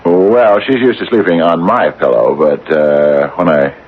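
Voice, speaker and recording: A man's voice: deep voice, unhurried. An actor playing a fussy, hesitant older father who dotes obsessively on his cat, in an old-time radio drama. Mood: doting. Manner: fussy, hesitant, fond murmuring. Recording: dull, noisy old radio recording.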